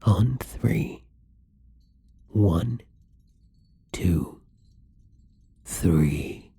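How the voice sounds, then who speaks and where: A gentle, newly turned male vampire, acted by a man murmurs close in an intimate ASMR roleplay. He takes his time, soft and hesitant, often whispering. The voice is extremely breathy, with a gravelly fry underneath, and his manner is kind and soothing. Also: low-pitched, animated, extremely slow, full character voice. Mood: gentle, nervous.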